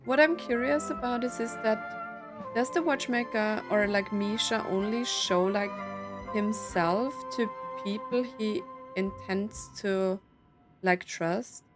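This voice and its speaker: A woman's voice: medium pitch, slow. A woman giving casual gameplay commentary, puzzling things out aloud as she plays. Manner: musing, puzzled, thinking aloud. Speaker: video game streamer. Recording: game music behind voice.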